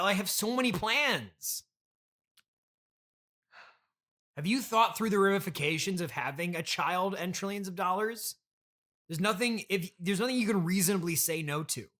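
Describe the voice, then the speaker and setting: Guy doing a spoiled young heir on a comedy podcast, kinda high bright voice, petulant and whiny, super impatient. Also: expressive, moderate pace. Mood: demanding, exasperated. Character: spoiled, petulant, entitled trillionaire's son, young. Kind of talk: improvised comedy podcast interview.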